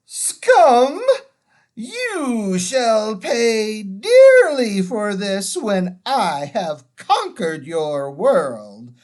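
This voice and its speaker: A woman voicing a proud, furious witch queen, speaking slowly, loudly and menacingly. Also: very animated. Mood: furious threatening. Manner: booming, slow, menacing.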